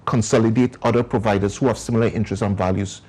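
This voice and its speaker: A man's voice: fairly low pitch, steady tone, fast, a little mellow, slightly rough. A man with a Trinidadian accent, a community organization founder explaining his work at length as a guest in a TV interview.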